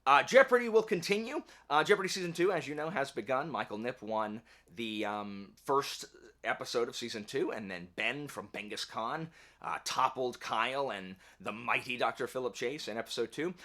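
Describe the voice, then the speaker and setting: A man's voice: medium pitch, normal speed, sharp tone. A man chatting casually to camera as a channel host, rambling along in a wry, self-deprecating way. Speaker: YouTube book channel host. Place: indoor home office.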